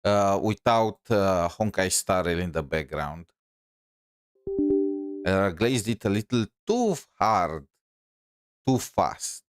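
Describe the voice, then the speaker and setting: A man's voice: low-pitched, sing-song, slow, pauses often. A man giving casual live gameplay commentary as a streamer, talking in an informal, rambling, hesitant way. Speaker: game streamer.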